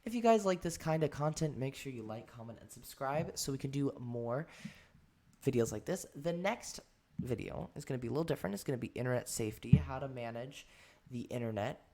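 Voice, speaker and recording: A man hosting a casual podcast chat, excitable and full of fillers. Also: mid-range voice, brisk. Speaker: podcast host.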